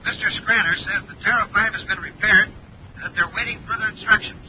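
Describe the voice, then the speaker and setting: An actor playing a keen, crisp male space patrol officer in a 1950s radio serial. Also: high voice, steady tone, fast, lightly acted. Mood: brisk, official. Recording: muffled, noisy 1950s radio recording.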